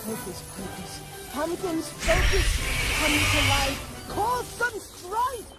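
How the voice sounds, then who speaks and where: A theatrical, scheming sorceress in a game. Her high voice swoops melodramatically and draws words out slowly. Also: sing-song, loud music behind the voice, heavily acted. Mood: menacing. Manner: melodramatic, incanting. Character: scheming, theatrical fairy-tale villainess casting spells.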